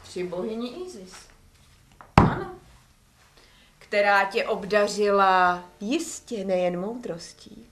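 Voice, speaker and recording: A woman's voice: medium pitch, expressive, long pauses, close to an everyday voice. Woman playing a seductive mistress, noisy stage recording. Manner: coy, teasing, commanding. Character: seductive, demanding mistress of a slave. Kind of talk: live comic stage acting. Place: theatre stage. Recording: noisy live theatre recording.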